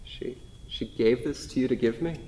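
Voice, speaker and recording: A man playing a wistful, gently rueful grieving widower in a staged play reading, recorded in an echoey hall. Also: mid-range voice, normal speed, slight background noise, natural voice. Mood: hushed grief. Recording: echoey hall with long reverb.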